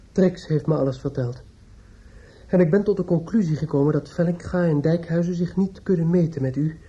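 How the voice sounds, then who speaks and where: A man's voice: on the high side, warm tone, lightly acted. An actor in a radio play playing a bitter, grieving younger man, formally polite but pointed and reproachful. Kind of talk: Dutch radio play acting. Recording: dull old radio recording.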